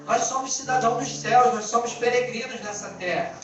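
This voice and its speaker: High-voiced Brazilian-accented male preacher, echoey recording. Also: a little fast, a bit bright, highs cut off. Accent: Brazilian Portuguese. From born in Angola, lived in Brazil. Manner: colloquial, exhortative, rhetorical questions and repetition. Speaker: pastor preaching to a church. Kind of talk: informal sermon. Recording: echoey distant band-limited live stream, music behind.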